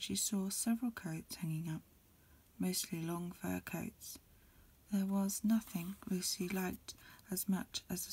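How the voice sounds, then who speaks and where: A woman reading a storybook aloud as ASMR in a breathy, mostly whispered voice, a little hesitant, with small stumbles. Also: fairly low pitch, darkish tone. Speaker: amateur reader. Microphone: close recording, lo-fi home setup.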